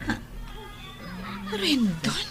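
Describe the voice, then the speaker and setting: High-pitched sweet young Filipina played by an actress. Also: female voice, huge pitch swings, very slow, gravelly, music in the background, heavily acted. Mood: earnest, surprised. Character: sweet shy young woman being courted. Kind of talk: Filipino radio drama acting.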